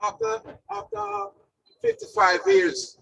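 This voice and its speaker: A man's voice: extremely high pitch, huge pitch swings, slow pace, gravelly, a bit bright. An older man giving a rambling acceptance speech, repeating points and joking. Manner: rambling, repeats himself, jokes. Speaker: award recipient. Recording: distant mic in a hall.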